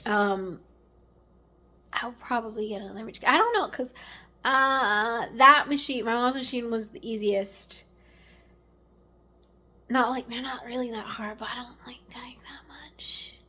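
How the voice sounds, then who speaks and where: A young woman talks to her camera at home, thinking aloud and rambling through fillers, now and then slipping into a whisper. Her voice sits a bit high and carries a slight rasp. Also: a little slow, pauses often, bright, forceful tone. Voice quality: a little raspy. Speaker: vlogger. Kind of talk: casual chat to camera.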